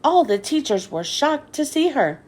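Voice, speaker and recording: Female storybook narrator for kids: slow, expressive read, lots of melodic movement. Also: sits high. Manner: expressive, deliberate storytelling delivery. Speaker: children's book read-aloud host. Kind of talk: scripted storybook narration for children.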